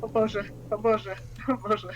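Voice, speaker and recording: A woman's voice: medium pitch, level tone, slow, lo-fi sound. A woman playing a video game with friends, chatting casually with exclamations and swearing. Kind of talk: casual chat during co-op gaming. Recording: clear background noise.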